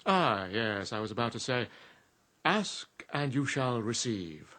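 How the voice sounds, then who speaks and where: Actor as a suave, animated genie. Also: male voice, medium pitch, music in the background, lightly acted. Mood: smooth, sly. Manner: urbane, formal, unhurried. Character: suave, courteous male genie granting wishes. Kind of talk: radio drama acting.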